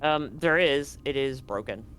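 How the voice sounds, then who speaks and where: A man's voice: mid-range voice, lively intonation, unhurried, fairly bright tone. Man running a tabletop game. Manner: rambling, self-correcting descriptions. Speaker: game master.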